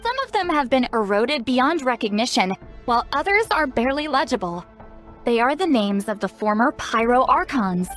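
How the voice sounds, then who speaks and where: High-voiced, teasing game granny figure. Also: female voice, very animated, moderate pace, a bit bright. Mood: concerned, thoughtful. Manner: playful, knowing, refers to self as granny. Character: spry self-styled granny, youthful-sounding, teasing, caring, shrewd. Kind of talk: scripted video game dialogue. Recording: game music behind. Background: game music.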